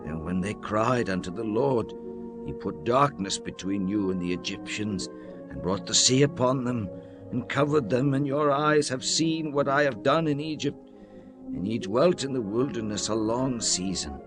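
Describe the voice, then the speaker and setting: A man's voice: medium pitch, normal speed, lightly acted. An actor playing an old, solemn Hebrew leader exhorting his people in a grand, declamatory scripture dramatization. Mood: solemn proclaiming. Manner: solemn, declamatory, emphatic swells and hushed drops. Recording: music under the voice throughout.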